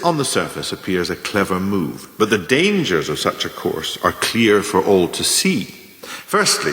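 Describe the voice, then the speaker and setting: A man in a radio play voicing a scheming, self-assured management mentor who lectures formally with deliberate, emphatic phrasing. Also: low-pitched, fairly bright tone. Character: scheming, self-assured male management mentor dispensing cynical career lessons. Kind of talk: scripted dramatic monologue, like a lecture of numbered lessons. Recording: very echoey, long hall-like reverb.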